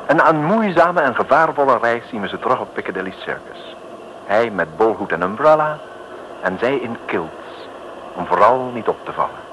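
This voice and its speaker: An actor playing a wry, deadpan male narrator, reading mock-solemn scripted narration in a 1950s radio comedy. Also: medium pitch, normal speed, close to an everyday voice. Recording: old, slightly noisy radio recording.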